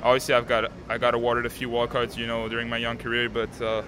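An eighteen-year-old man from Canada, a native French speaker, answering interview questions outdoors in a calm, composed way with many fillers. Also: mid-range voice, fast. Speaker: tennis player, interviewee. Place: outdoors at a tennis stadium. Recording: crowd noise behind.

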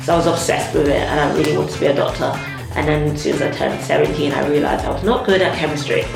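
Creaky woman, echoey, over music. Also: low-pitched, fairly even intonation, fast. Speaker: stand-up comedian. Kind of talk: casual promotional interview. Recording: echoey room with music underneath.

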